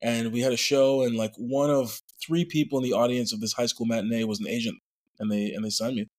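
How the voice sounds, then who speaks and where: A man in his forties from Montreal chats as a podcast guest. His voice sits at an ordinary middle pitch, and he tells his story in an easygoing, rambling way. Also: fairly even intonation, a little fast. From Montreal, Quebec, Canada. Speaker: guest actor. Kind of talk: casual interview chat.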